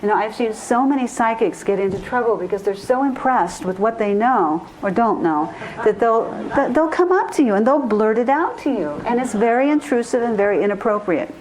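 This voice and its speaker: A woman's voice: a little high, lively intonation, fairly quick, few pauses, a little mellow, low-quality recording. A woman giving an informal spiritual talk as a therapist and teacher, speaking earnestly and repeating phrases for emphasis.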